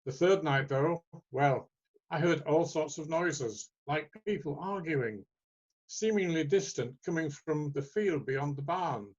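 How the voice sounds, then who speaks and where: Man reading a story, slightly high voice, muffled. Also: a little slow. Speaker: writer reading his own short story. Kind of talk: storytelling, scripted first-person narration.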